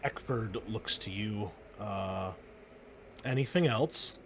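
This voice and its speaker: A man running a tabletop game as game master, talking in halting, stammering bursts. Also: lowish voice, noisy background.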